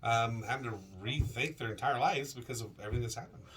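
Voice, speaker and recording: Fast rambling co-host man, a bit sick. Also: mid-range voice, fairly bright tone, a little hiss or hum, rough recording. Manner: fast, rambling, repeats words. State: a little sick, deeper voice. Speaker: radio show co-host. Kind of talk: casual radio chat.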